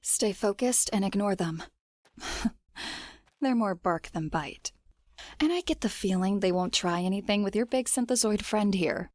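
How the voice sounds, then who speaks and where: A woman playing a resolute lady knight in an audio drama, with a formal, serious and measured delivery. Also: medium pitch, expressive, a little mellow, no echo, clean studio sound, close to an everyday voice. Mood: brisk, wry.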